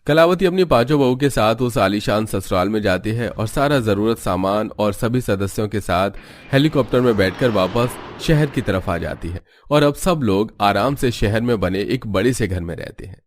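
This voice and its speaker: A man's voice: lowish voice, animated, normal speed, slight background noise. A man delivering scripted narration as the storyteller of a cartoon tale.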